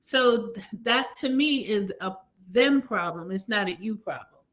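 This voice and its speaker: A woman's voice: mid-range voice, lively intonation, unhurried, fairly bright tone, poor audio. Frank woman hosting a podcast chat. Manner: frank, conversational, agreeing and sharing. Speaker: podcast host. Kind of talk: casual chat.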